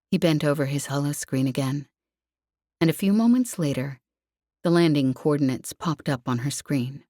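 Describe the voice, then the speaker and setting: Slow, low-voiced woman narrating fiction. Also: a little mellow, no echo, clean studio sound. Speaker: audiobook narrator.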